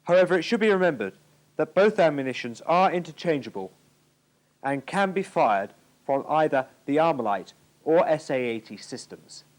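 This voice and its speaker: British man, fairly high voice, very varied intonation, formal measured enunciation, scripted military instruction, 1980s training film soundtrack. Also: very animated.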